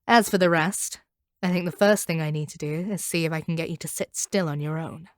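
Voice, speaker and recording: An actress voices a hardened monster hunter woman in a scripted audio roleplay. Her voice sits a little low and is recorded very dry and close. She talks down to her captive with measured, domineering authority and a proud, bitter edge. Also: fairly even intonation, no echo, lightly acted. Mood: cold, menacing.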